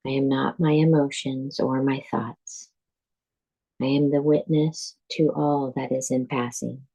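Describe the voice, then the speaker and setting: A woman guiding a yoga nidra meditation as a teacher, speaking calmly and deliberately in measured phrases with pauses. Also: very deep voice, poor audio. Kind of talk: guided meditation, scripted instruction.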